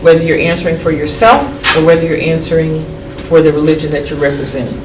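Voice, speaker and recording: Low-voiced teacher calling on students. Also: female voice, fairly even intonation, fast. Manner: brief prompting, calls on students. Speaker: college instructor. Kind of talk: leading class discussion. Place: classroom. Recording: echoey classroom from far off with noise.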